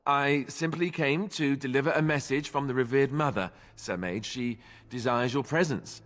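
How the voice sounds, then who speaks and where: An actor voicing a wry, glib young warrior in a fantasy video game, full of sarcasm. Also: male voice, mid-range voice, normal speed, a bit bright, big-room sound, lightly acted. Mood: sarcastic. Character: wry, joking young warrior, former templar.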